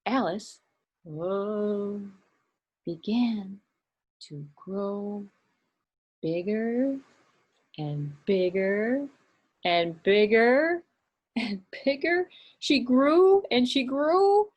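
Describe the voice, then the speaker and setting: Animated, breathy storyteller woman. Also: mid-range voice. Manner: animated, slow and expressive storytelling. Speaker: children's librarian, puppeteer. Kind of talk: storytelling puppet show for kids.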